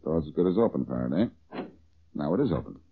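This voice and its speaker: A man's voice: very deep voice, very animated, slight background noise, exaggerated voice. A man playing a wisecracking, cocky amateur detective with sardonic retorts, in an American accent and vintage radio drama style. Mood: dry, confident. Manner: sardonic, quick with dry retorts. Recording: dull, muffled old-time radio recording.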